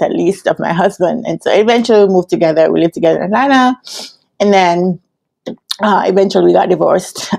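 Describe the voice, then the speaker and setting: A woman's voice: medium pitch, vocal fry, a little mellow. A woman in her thirties with a Jamaican accent, chatting casually to her viewers from a hotel room, laughing often as she tells her story. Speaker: vlogger and business analyst coach. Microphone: laptop webcam at desk.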